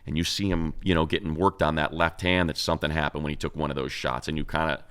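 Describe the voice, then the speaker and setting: A man's voice: a bass voice, flat delivery, fast, a bit bright, smooth. A man hosting a sports talk show, casually analyzing in a conversational, explanatory way and posing rhetorical questions.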